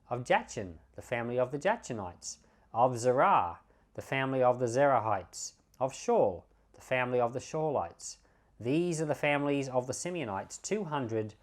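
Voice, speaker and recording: A man reading the Bible aloud as a pastor, in measured phrases with emphatic openings. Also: mid-range voice.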